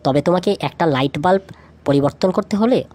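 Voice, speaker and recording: A woman with a slightly low voice presents a light trivia feature from a script. She addresses the listener directly, posing questions as she narrates. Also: fairly quick, dark tone. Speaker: lead narrator of a feature video.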